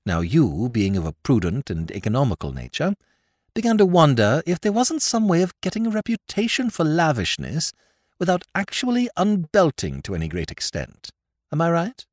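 A man's voice: fairly high pitch, very animated, normal speed, dry recording, pitched above the performer's own voice. A man voicing a confident young American who lays out an accusation coolly and sarcastically, in a dry recording. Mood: sardonic. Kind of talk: audiobook character voice.

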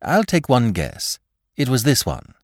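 A man reading aloud, voicing a flippant, excitable aristocratic amateur detective. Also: measured pace, dry recording, studio quality. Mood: cheerful. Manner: flippant, theatrical, high-spirited.

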